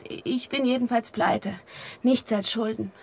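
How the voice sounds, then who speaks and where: An actress playing a broke, heartbroken young woman in a German radio drama, husky and breathy, plaintive and fragile. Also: a little high, fairly even intonation, a little slow, a little hiss or hum. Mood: dejected.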